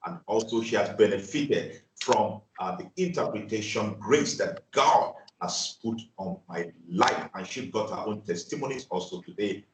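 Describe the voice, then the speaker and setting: A Nigerian man with a lowish voice hosts a dream interpretation channel, effusive and full of repeated affirmations. He sits some way from the mic in an echoey room, with faint noise behind. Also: far from the mic. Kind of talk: ministry channel talk.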